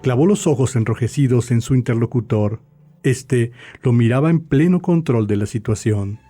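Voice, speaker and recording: A man reading scripted literary narration for a dark fantasy audio story. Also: medium pitch, normal speed. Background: faint music.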